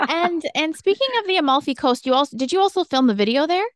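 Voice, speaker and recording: Female interviewer, fairly high voice, upbeat, complimentary, casual, dry sound. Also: lively intonation, fairly quick, no echo. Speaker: interviewer, host.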